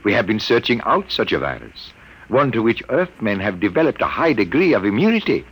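An earnest elderly scientist. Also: male voice, on the low side, wildly swooping pitch, brisk, breathy edge, full character voice, poor audio. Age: 60s. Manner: earnest, explaining. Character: aging Earthman scientist, earnest and burdened. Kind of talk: 1950s radio drama acting. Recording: old radio broadcast.

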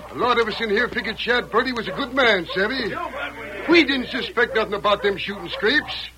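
A high-voiced, bombastic town boss holds forth in a Western drawl. He is a self-important frontier rabble-rouser from an old radio western, heard through clear noise. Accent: American Western drawl. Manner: bombastic, folksy speechifying. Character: blustering self-appointed western town boss rousing a mob. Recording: noisy vintage radio recording.

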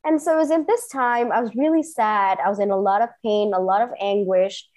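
A woman's voice: medium pitch, fairly quick, a little mellow. A woman in her thirties from Singapore, telling her career story as an interview guest in an earnest, enthusiastic way. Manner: earnest, enthusiastic, emphatic repetitions. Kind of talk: personal storytelling in conversation.

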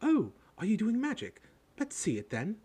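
A man's voice: high voice, wildly swooping pitch, moderate pace, warm tone, husky, voice pushed up, exaggerated voice. A man voicing a bossy, eager, know-it-all schoolgirl who rattles on in an audiobook story.

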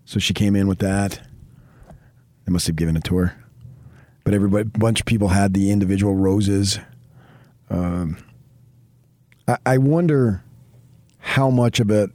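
A man's voice: on the low side, a little mellow, no echo. A middle-aged man with a New Jersey accent, talking sports off the cuff in a rambling, emphatic, conversational way as a radio host. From New Jersey, later Arizona. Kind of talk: unscripted sports talk.

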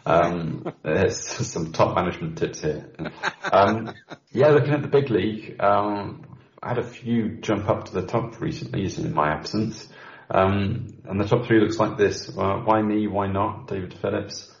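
Deep gravelly man in an echoey room. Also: unhurried. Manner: relaxed, joking, trailing fillers. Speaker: podcast co-host. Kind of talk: casual chat. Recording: echoey dull lo-fi.

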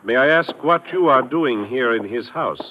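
An actor playing an earnest German police official in an old-time radio drama, speaking formally and precisely with a German accent. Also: male voice, medium pitch, expressive, moderate pace, lightly acted. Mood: formal, puzzled. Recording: muffled, noisy vintage radio recording.

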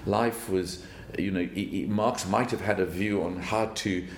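Older south african guy, lowish voice, thinks out loud with little laughs, roomy sound w faint hum. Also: fairly even intonation. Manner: thoughtful, halting, with chuckles. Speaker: guest, banker. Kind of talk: interview storytelling.

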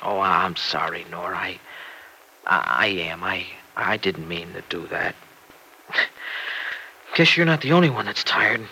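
An actor playing an earnest, anxious young farmer husband in an old-time radio drama, with a halting, tender way of talking. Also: male voice, low voice, creaky, sharp tone, heavily acted. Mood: evasive, weary. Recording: noisy vintage radio recording.

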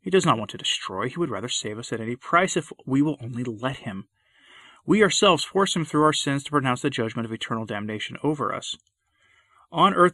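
A man's voice: medium pitch, sharp tone, dry recording. A man reading a sermon aloud in a solemn, impassioned, old-fashioned declamatory style. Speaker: preacher.

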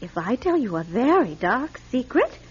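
High-pitched, excitable older lady. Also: normal speed, slightly rough, lo-fi sound, heavily acted. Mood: eager, pleading. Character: excitable, gullible, eager older lady confidante. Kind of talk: radio drama acting.

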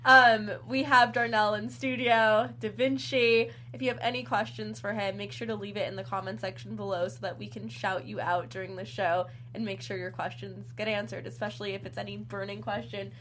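A woman's voice: mid-range voice, fairly even intonation, a little fast, hardly any pauses, fairly bright tone, slight background noise. A woman from Texas hosting a talk show, asking long questions and recapping episodes in an upbeat way. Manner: upbeat, asks long questions, laughs easily. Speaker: host.

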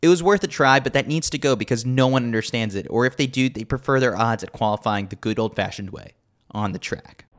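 A man's voice: mid-range voice, a little fast. A man reading a scripted sports newsletter as a podcast host, with a wry, tongue-in-cheek manner.

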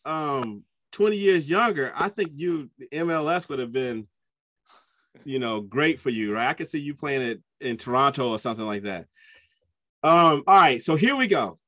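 A man hosting a soccer podcast, chatty and enthusiastic, often jumping up in pitch when he gets excited. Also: no room sound. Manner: enthusiastic, chatty, with sudden upward pitch jumps. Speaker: podcast host. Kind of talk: casual podcast interview.

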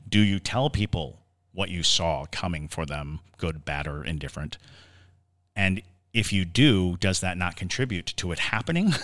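A man co-hosting a podcast, explaining things conversationally in a halting, reflective way. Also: deep voice, lively intonation, a bit bright. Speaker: podcast host. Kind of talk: conversational podcast discussion of the paranormal.

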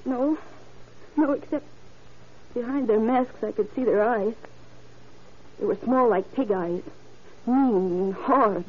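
A woman playing a shaken young murder witness in an old-time radio drama, halting, haunted and dramatic. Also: fairly high pitch, slightly rough. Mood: haunted dread. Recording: dull, noisy old radio recording.

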